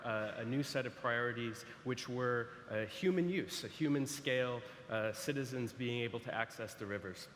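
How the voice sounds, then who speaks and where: Halting male speaker in an echoey hall. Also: medium pitch, fairly bright tone. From San Francisco. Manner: hesitant, frequent restarts and fillers. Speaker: architect presenting to an audience. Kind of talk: conference presentation. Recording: echoey hall, faint noise.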